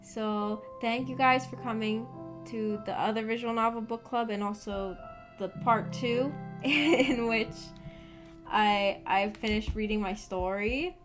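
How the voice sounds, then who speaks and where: A woman's voice: on the high side, slow pace. Young woman chatting casually over music. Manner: rambling, thinking aloud, answering chat. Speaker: streamer, host of a visual novel book club. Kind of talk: casual livestream chat with viewers. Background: background music.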